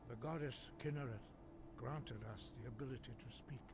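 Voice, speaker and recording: An actor playing a serene elder monk in a fantasy video game, teaching in a measured, formal, pious way. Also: male voice, medium pitch, background noise, close to an everyday voice. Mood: solemn, instructive. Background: game music.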